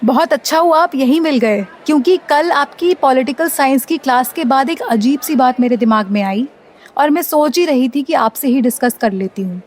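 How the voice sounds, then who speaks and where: A woman's voice: high voice, animated, normal speed, a little hiss or hum. A young woman playing a curious, polite university student asking her teacher questions in a radio play. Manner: inquisitive, polite.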